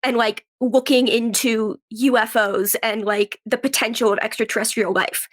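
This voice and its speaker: A woman's voice: on the high side, fairly even intonation, normal speed. A young woman answering interview questions in a casual, conversational way, telling stories and often saying "like" and quoting her own thoughts. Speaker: podcast guest, actress and writer.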